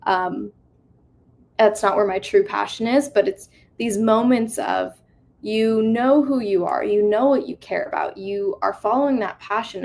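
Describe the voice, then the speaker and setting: Twenties woman speaker, vocal fry, earnest and reflective talk over a video call. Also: mid-range voice, a little mellow, slight background noise. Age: 20s. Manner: earnest, reflective, many fillers. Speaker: conference speaker. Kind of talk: motivational talk with slides. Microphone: video call mic.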